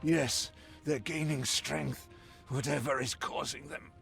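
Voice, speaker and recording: An actor playing a defeated captive fighter in a fantasy game, grave and deliberate as he earnestly gives warning. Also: mid-range voice, fairly even intonation, vocal fry, fairly bright tone, soft background music. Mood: grave, weary. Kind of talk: dramatic fantasy game acting.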